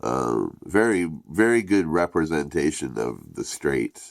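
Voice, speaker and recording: A man with a very deep, gravelly voice talks in a stuffy, congested way. His delivery is loose and profane, rambling along with lively swings in pitch, and the recording is close and dry. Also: a little slow, no room sound. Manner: profane, rambling, laid-back. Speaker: product reviewer. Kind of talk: casual rambling review.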